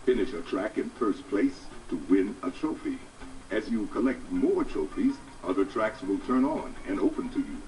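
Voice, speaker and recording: A man's voice: on the low side, lively intonation, normal speed, a little mellow, recorded from a distance, lightly acted, low-quality recording. A man voicing a wise, encouraging guide in a cartoon racing game, giving scripted instructions. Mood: encouraging, instructive. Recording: game audio from afar, music underneath. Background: game music.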